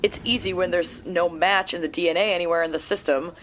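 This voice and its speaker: An actress playing a matter-of-fact young woman who handles lab and research work, speaking briskly. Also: fairly low pitch, steady tone, fairly bright tone, a little hiss or hum, lightly acted. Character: quick, techy young woman friend doing lab research. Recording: dull, lo-fi sound.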